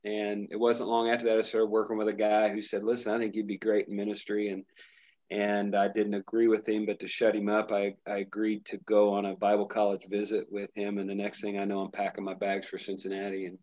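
A man's voice: on the low side, completely flat delivery, fairly quick, a little mellow, dull audio. A middle-aged pastor from Indiana, chatting casually in an interview and telling his story with many restarts. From Indiana, USA. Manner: rambling storyteller, frequent restarts.

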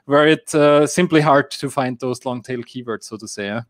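A man's voice: medium pitch, normal speed, dry recording, studio quality. A man from Austria whose first language is German, explaining app marketing in a live-stream Q&A with many fillers and hedging phrases. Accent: German-speaking Austrian. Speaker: app marketing guest expert.

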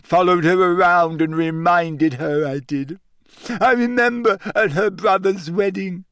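Audiobook ghost girl played by a man: glum, touchy, high-pitched and drawn out, full of self-pity. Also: a little slow, a bit bright, clear, resonant tone, no room sound, studio quality. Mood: self-pitying, aggrieved. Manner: mournful, shrill when upset. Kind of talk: audiobook character voice.

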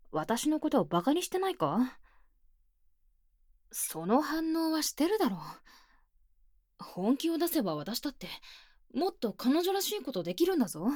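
A woman playing an earnest, bookish high-school club president with stiff, boyish phrasing, speaking softly and close in a Japanese ASMR voice drama. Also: dry recording, heavily acted. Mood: indignant, proud. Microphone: close binaural microphone, dry.

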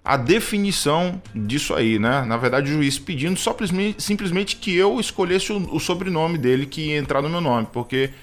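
A man's voice: fairly high pitch, animated, normal speed, few pauses. Earnest hesitant man in his thirties. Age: 30s. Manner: hesitant, many restarts and fillers, earnest. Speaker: radio program co-host.

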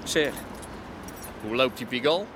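A man's voice: medium pitch, unhurried, natural voice. An actor playing a scheming streetwise underworld man in a Dutch radio drama. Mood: chummy, jovial. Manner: streetwise, colloquial. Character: scheming 1970s Rotterdam underworld man pitching a drug deal. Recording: noisy ambience with vehicle sounds.

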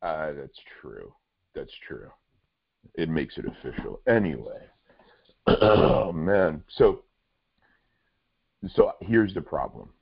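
A man's voice: extremely low pitch, big pitch swings, takes it slowly, gravelly, pauses often, breathy edge, no echo, lo-fi sound. A man hosting a casual podcast, rambling and restarting his sentences, with dry humor. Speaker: podcast host.

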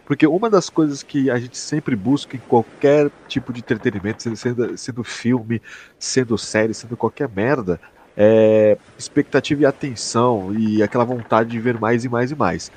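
A man's voice: medium pitch, moderate pace, a little mellow, a little hiss or hum. A Brazilian man chatting casually and enthusiastically as a podcast host, slangy and emphatic, with some swearing. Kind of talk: casual chat, enthusiastic review.

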